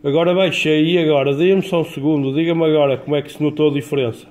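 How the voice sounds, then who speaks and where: A man with a European Portuguese accent chatting casually as an amateur radio operator, in a colloquial, halting way with repeated words. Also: on the high side, steady tone, heavy background noise. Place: indoors at a home radio desk.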